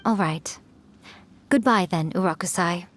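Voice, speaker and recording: A woman's voice: lowish voice, a little slow, lightly acted. An actress playing a sly, teasing, ageless yokai woman in a video game, with a smug, poised and playful manner. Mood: fond, playful. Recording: clearly audible background noise.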